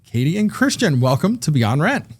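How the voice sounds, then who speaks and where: A man's voice: fairly high pitch, sing-song, normal speed, slightly rough. A man hosting a podcast interview in a studio, talking in a friendly, conversational way with some fillers. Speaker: podcast host. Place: recording studio.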